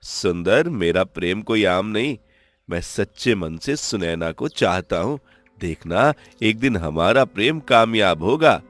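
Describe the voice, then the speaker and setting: A man voicing a humble, lovestruck young village blacksmith, earnest and halting, in a Hindi cartoon story. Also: on the low side, animated, a bit bright. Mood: hopeful, determined.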